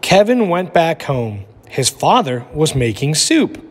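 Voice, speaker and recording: A man's voice: a little high, big pitch swings, a little slow, husky. A man with an instructive manner, speaking as a teacher reading a children's storybook aloud. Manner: instructive, checks in with listeners. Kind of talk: lesson and storybook narration. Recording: echoey room with long reverb.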